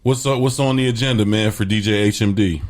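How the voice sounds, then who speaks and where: A man's voice: lowish voice, fast. A young man hosting a podcast, chatting casually with slang, often restarting and repeating words. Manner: friendly slangy talk, stammers, repeats words. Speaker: podcast host. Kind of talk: casual interview chat.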